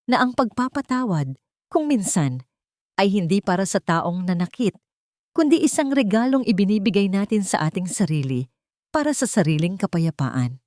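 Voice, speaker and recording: A woman narrates a scripted romantic drama in a sentimental, measured storytelling manner. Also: mid-range voice, sing-song, a little mellow, dry recording, clean studio sound.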